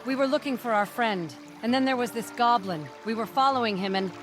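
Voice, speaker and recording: A woman playing an alert, commanding adventurer in a fantasy game, brisk and questioning. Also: on the high side, close to an everyday voice. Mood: concerned. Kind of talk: fantasy game cutscene acting. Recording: music behind the voice.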